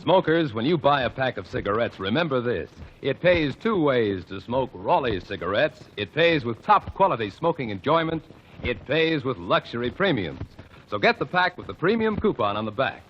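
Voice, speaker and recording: Upbeat vintage radio ad announcer. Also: male voice, medium pitch, sing-song, normal speed. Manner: upbeat, persuasive. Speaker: commercial announcer. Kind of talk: radio advertisement. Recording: dull old radio broadcast with clicks.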